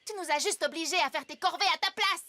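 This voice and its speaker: Very high, girlish cartoon animal friend. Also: fast. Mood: indignant. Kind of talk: French cartoon dubbing. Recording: loud music behind the voice.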